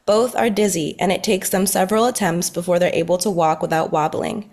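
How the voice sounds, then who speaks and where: A woman's voice: on the low side, steady tone, a little fast, darkish tone. A woman reading scripted narration as the narrator at a table read.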